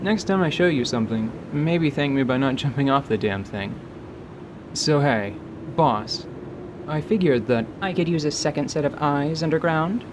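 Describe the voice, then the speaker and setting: A man's voice: normal speed, background noise, lightly acted. A man playing a laid-back, grumbling male scout in a radio play, casual and sardonic. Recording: rumbling background ambience.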